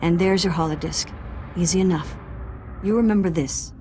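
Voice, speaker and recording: Woman playing a wry, low-voiced undercity contact. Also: moderate pace, background noise, natural voice. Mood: wry, friendly. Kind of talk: video game dialogue.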